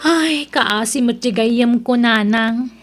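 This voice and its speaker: High-pitched snobbish young Ilocano gossip. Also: female voice, slow pace, over music. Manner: haughty, belittling. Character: boastful, gossipy young woman proud of her professional boyfriend. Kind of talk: Ilocano audio drama acting.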